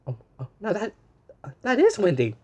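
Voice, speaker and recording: A man reading a children's picture book aloud in an animated way, pausing to point things out and exclaim. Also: high-pitched, slightly rough, highs cut off. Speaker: storyteller.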